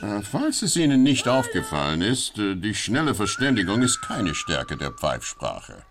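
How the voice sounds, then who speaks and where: A man's voice: on the low side, wildly swooping pitch, moderate pace, a little hiss or hum. A man playing a wry live reporter who hosts a spoof radio play, mixing English into his narration.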